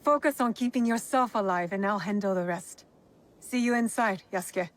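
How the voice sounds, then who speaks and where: An actress playing a loyal, composed shinobi in a video game, speaking in a calm, understated, assured way. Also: female voice, medium pitch, moderate pace, close to an everyday voice. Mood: focused, reassuring. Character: loyal, composed female shinobi, quietly determined. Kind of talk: dramatic video game acting.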